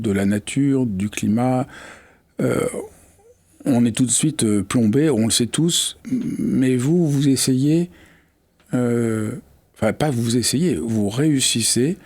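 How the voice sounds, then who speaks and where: A man's voice: medium pitch, mellow, dry recording. A male host who introduces the show and asks questions with effusive admiration.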